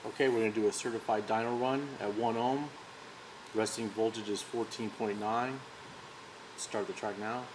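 A man's voice: fairly low pitch, fairly even intonation, normal speed. Male product demonstrator, casual and matter-of-fact, noticeable workshop noise behind. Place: indoor workshop.

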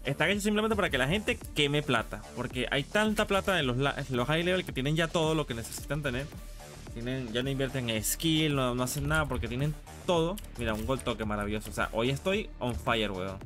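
A man's voice: mid-range voice, animated, brisk, fairly bright tone. A man chatting casually on a live game stream, slangy and sweary as he gripes like a regular player. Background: music.

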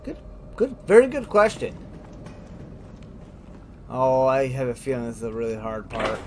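A man's voice: mid-range voice, very animated, measured pace, background noise. A man giving casual let's play commentary, thinking aloud in short remarks. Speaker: let's player. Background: music and game sounds.

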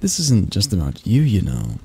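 A man playing a possessive, condescending, flirtatious mafia boss in an intimate ASMR roleplay, drawling and halting in a patronizing, domineering way. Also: lowish voice, wildly swooping pitch, dark tone, slightly rough, heavily acted.

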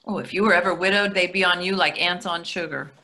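A middle-aged woman playing a brisk, wry wife and mother in a play reading, dry and teasing over a video call. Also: on the low side, fairly even intonation, pushed and bright. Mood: playful. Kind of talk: readers theatre play reading. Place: indoors at home, on a video call.

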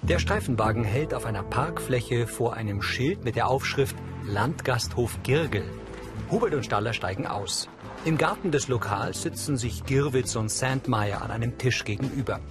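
A man's voice: medium pitch, expressive, moderate pace, over music. A man reading scripted audio description for a television drama in a matter-of-fact way. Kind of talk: scripted narration.

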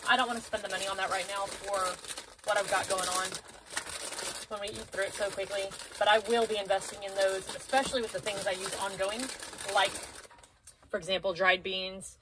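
A woman's voice: medium pitch, little pitch movement, fairly quick, background noise. A woman in her thirties talking casually and practically as she organizes a home pantry, thinking aloud, recorded by a camera a few feet away. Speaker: prepper vlogger. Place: small home pantry with shelves, indoors. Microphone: camera on a low shelf, a few feet away.

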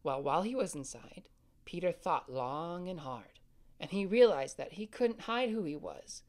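A man playing a gentle, caring big brother. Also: on the high side, lively intonation, normal speed, close to an everyday voice. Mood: soothing. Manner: gentle, soothing, reassuring. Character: caring older brother, young, calm storyteller. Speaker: voice actor. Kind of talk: family audio drama acting.

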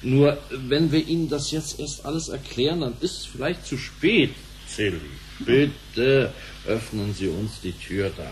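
A man's voice: mid-range voice, a little slow, close to an everyday voice. A man playing an earnest, placating bank boss, flustered yet in charge, in a radio play. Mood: pleading, placating. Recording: roomy echo, faint background noise.

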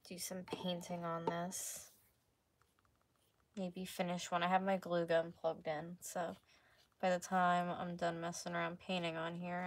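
A woman in her twenties with a slightly hoarse voice, chatting casually as she livestreams crafts from home. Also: fairly low pitch. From North America. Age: 20s. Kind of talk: casual chat while painting. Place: small room at home, indoors. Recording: clearly noisy home livestream recording.

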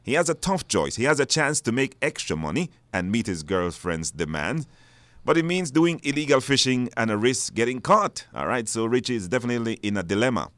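A man's voice: mid-range voice, sing-song, fairly quick, a bit bright, dry recording. A man presenting a live radio talk show, hesitating and repeating words for stress. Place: radio studio.

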